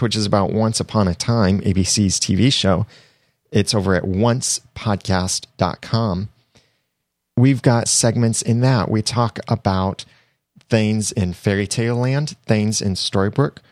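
A man's voice: on the low side, darkish tone, no room sound, clean studio sound. A young man hosting his own podcast, chatty and upbeat, talking through tips while getting over a cold. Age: young adult. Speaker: podcast host. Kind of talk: solo podcast tips monologue.

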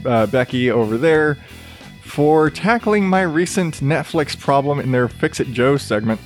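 A man hosting his own podcast in a relaxed, rambling way, with a soothing voice and a habit of swearing freely. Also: mid-range voice. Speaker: podcast host. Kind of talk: casual solo podcast chat.

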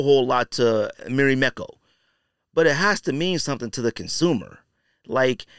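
Clear-voiced man, casual podcast host. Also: medium pitch, fairly bright tone, no room sound, clean studio sound. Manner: slangy, conversational, opinionated. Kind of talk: casual solo podcast commentary.

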